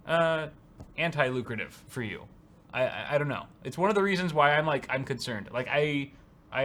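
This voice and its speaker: Irreverent man with a bright, forceful voice. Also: a little high. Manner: irreverent, joking, swears freely. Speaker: co-host of a live tech show. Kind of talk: casual banter, reading viewer donations aloud.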